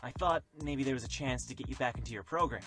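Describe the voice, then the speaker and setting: A woman's voice: a bass voice, quick pace. A woman voicing a loyal, upbeat young male friend in a video game, earnest and playfully teasing. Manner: earnest, playful, expressive delivery. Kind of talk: English video game voice acting. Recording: loud background music.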